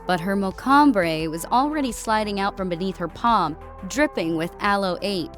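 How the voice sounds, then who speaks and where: A woman's voice: medium pitch, expressive, moderate pace, a bit bright, faint music behind. A woman reading scripted narration of a military science fiction story as an audiobook narrator.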